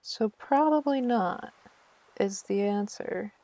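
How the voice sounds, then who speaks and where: A woman's voice: medium pitch, animated, slow pace, mellow, no room sound. A woman chatting casually on a live game stream, thinking aloud in a self-deprecating way.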